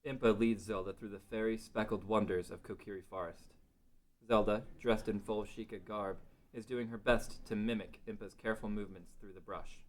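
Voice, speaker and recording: A man's voice: lowish voice, fairly even intonation, moderate pace. A man narrating a scripted fantasy story as part of a table read.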